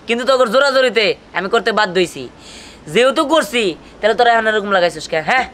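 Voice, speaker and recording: A man's voice: extremely high pitch, lively intonation, slight background noise. A man with a colloquial Bangladeshi accent voicing an exasperated young cartoon husband, scolding in comic dialogue. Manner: scolding, rapid, forceful. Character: exasperated young husband of two quarrelling wives.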